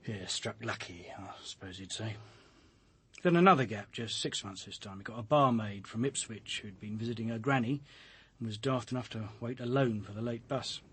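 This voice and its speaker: An actor with a British accent playing a down-to-earth, blunt police detective in a radio drama, colloquial and matter-of-fact. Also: male voice, lowish voice, moderate pace, fairly bright tone, husky. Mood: matter-of-fact, grim.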